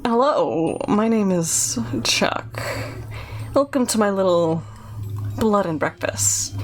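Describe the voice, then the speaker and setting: A woman's voice: medium pitch, expressive, takes it slowly, slight background noise. A woman voicing a creepy, hunched male innkeeper in a video game, with sinister politeness, a lisp and oddly stressed words. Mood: creepy, welcoming. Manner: lisping, oddly emphasized words. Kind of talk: video game character read-aloud.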